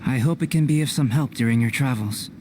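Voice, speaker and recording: An actor playing a calm, scholarly young alchemist in a video game, speaking in a measured, formal, detached way. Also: male voice, medium pitch, lightly acted. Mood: calm, assured. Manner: measured, formal, analytical delivery. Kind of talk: scripted video game cutscene acting. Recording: clear noise behind the voice.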